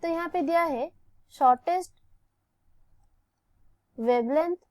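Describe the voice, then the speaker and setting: A woman with an Indian accent and a high voice works through a lesson as a teacher. She goes slowly and methodically, asking a question and then answering it herself. The sound is close and dry. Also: a little mellow, no echo. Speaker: physics teacher. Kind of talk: step-by-step lesson, explaining a worked problem.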